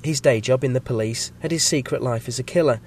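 A man with a slight cold and a croaky voice, hosting a podcast and reading a measured, scripted episode review. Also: medium pitch, a little mellow, a little hiss or hum. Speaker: podcast host.

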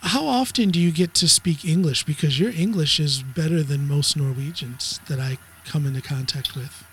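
A man's voice: on the high side, moderate pace, a bit bright, breathy edge. A man hosting a podcast interview, encouraging and folksy, with lots of short affirmations. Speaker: podcast host. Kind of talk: casual interview chat.

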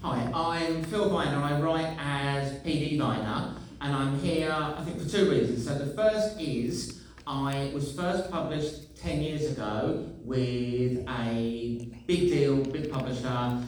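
A man introduces himself on a festival panel and speaks slowly, in a measured, explanatory way. He sounds distant. The hotel function room is very echoey, with faint noise behind him. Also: medium pitch. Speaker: author and writing course director. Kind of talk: panel talk.

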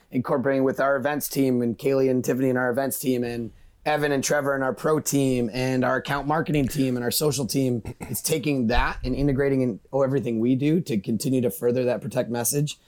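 A man's voice: mid-range voice, fairly even intonation, fast talker, a little mellow. A man speaking as an interview guest, talking informally and enthusiastically about his brand's work. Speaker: guest, brand conservation representative. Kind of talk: casual promotional interview talk.

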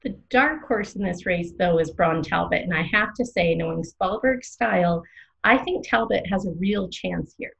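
A woman playing an excitable, opinionated small-town arts radio co-host, theatrical and playfully dramatic in scripted comic banter. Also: on the low side, moderate pace, vocal fry, slight background noise, rough recording. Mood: chatty, dramatic.

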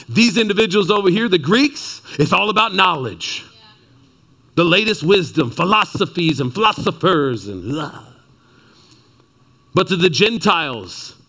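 A man in his thirties from Texas preaching a sermon to a seated congregation, building to excited peaks and dropping low and quiet. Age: 30s. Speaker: pastor. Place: church before a seated congregation.

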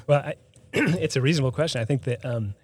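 A middle-aged man from the Boston area, a physician and health center CEO, answering interview questions thoughtfully with many pauses and restarts. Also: medium pitch, a little mellow, slightly rough, no room sound. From Boston area, United States. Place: studio.

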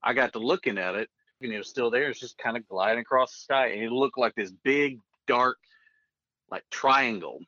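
Interview guest: American man, middle-aged, sharp bright tone, folksy rambling storyteller, lo-fi dull audio. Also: mid-range voice, normal speed, dry recording. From northeastern Oklahoma, USA. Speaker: guest, retired Air Force veteran. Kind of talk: casual personal storytelling in an interview.

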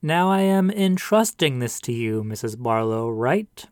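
A man voicing a pompous male pastor who talks in a sermonizing, drawn-out way. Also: mid-range voice, sing-song, clean tone, no echo, studio quality. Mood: sanctimonious.

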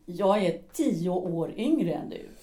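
Woman playing a bitter sharp-tongued wife, middle-aged, warm low tone. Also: expressive, natural voice. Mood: reproachful. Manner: cutting and reproachful. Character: embittered former actress wife, sharp-tongued, in middle age. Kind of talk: Swedish audio drama acting.

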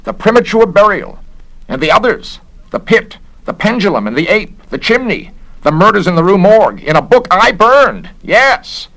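A man's voice: medium pitch, big pitch swings, normal speed, a bit bright, voice pushed up, low-quality recording. A man voicing a fussy, scornful government investigator in a storybook reading, with an agitated manner. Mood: gasping, astonished. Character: Garrett, fussy indignant male government censor and investigator.

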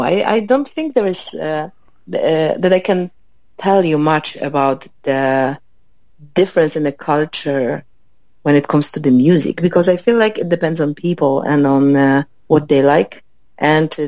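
A woman from Poland with a Polish accent, a guest in a relaxed interview, pausing often with fillers as she thinks aloud. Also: low-pitched, no echo, low-quality recording. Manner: hesitant, many fillers, thoughtful. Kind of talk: conversational interview.

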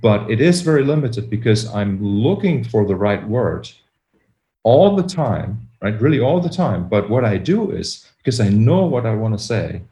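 A man's voice: on the low side, big pitch swings, normal speed, dark tone. Man, hesitant, roomy sound. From Germany. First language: German. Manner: hesitant, searching for words, many restarts. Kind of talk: casual conversation.

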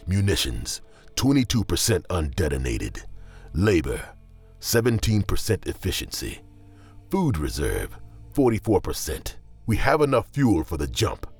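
An actor playing a grim, pragmatic ship officer in an audio drama, delivering measured, matter-of-fact reports. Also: male voice, low-pitched, very animated, darkish tone, husky, full character voice. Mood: coldly pragmatic. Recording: faint music behind.

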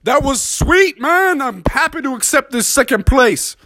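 An adult storyteller voicing a brash, cocky chimpanzee rapper in a bedtime story, loud and shouty. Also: high-pitched, animated, a little slow, dry recording, clean studio sound, voice pushed up, exaggerated voice. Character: cocky rapping male chimpanzee.

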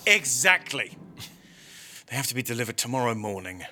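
An actor playing an indignant, fanatical Norwegian fascist prison guard in an audio drama, aggrieved and emphatic. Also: male voice, mid-range voice, big pitch swings, moderate pace, pushed and bright, a little hiss or hum. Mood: cold, defensive.

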